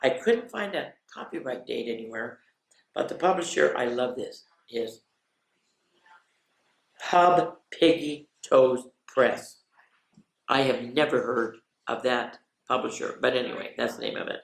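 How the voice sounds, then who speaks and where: A woman reading aloud to children as a storyteller, expressive and playful, with chatty asides. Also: extremely low pitch, a little slow, creaky. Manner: expressive, playful, drops into whispers for refrains. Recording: roomy, echoey sound.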